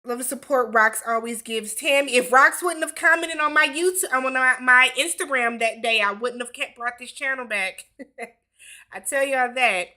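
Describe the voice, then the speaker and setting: A woman's voice: high-pitched, normal speed, bright, forceful tone. A woman in her forties from Wisconsin, hosting a casual livestream Q&A, chatting loosely, laughing often and reading viewer comments aloud. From Milwaukee, Wisconsin. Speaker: YouTube host.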